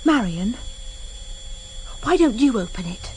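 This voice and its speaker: A woman's voice: a little high, sing-song, slow, darkish tone. An actress playing a naive, earnest and mischievous woman in a British radio drama. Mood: eager, sly. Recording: noisy vintage radio recording.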